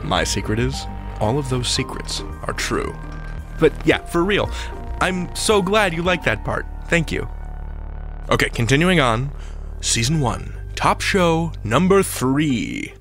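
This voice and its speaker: A man's voice: medium pitch, very animated, takes it slowly, music in the background. An American man hosting a podcast countdown with playful, theatrical scripted narration. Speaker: podcast host.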